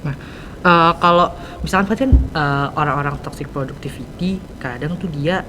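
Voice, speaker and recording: A man's voice: on the high side, normal speed, background noise. A teenage boy hosting a casual school podcast, chatty and full of slang and fillers. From Indonesia. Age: teens. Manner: slang-heavy, many fillers. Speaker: student podcast host.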